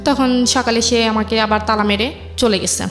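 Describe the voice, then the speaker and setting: Young woman, Bangladeshi accent, slightly high pitch. Interview guest, halting conversational storytelling over light music. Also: fairly even intonation, a bit bright. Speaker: interview guest telling her life story.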